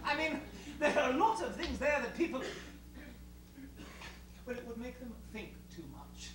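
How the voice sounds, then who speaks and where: An actor playing an exasperated, uneasy male hotel boss, halting yet commanding, in a theatrical stage style. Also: extremely high pitch, lively intonation. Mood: uneasy, placating. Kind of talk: theatrical two-hander stage acting. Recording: distant roomy stage recording with hiss.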